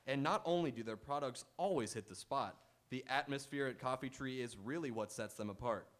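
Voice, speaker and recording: A man's voice: medium pitch, fairly quick. A man hosting a live game show on stage, bantering playfully and reading announcements to the audience. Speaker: quiz show host. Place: auditorium stage.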